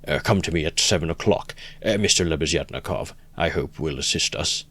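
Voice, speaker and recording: A man voicing a pompous, calculating middle-aged gentleman who speaks in a measured, condescending way during an audiobook reading. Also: a bass voice, heavily acted.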